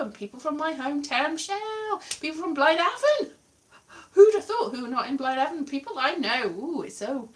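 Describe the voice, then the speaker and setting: A woman from South Wales chats casually with her livestream viewers, wandering from one thought to the next and poking fun at herself. Her voice sits fairly high, and she is a little way off the mic, so it sounds slightly distant. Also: a bit bright. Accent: South Welsh, atypical. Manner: chatty, tangential, self-mocking, trails off and restarts. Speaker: livestream host. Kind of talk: casual rambling chat to viewers.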